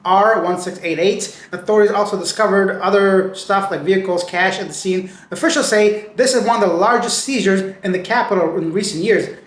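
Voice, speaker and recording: A man hosting a casual news show with a slightly raspy voice, reading stories and adding slangy, chatty asides. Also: sits high, a bit bright, reverberant, rough recording. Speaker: news channel host.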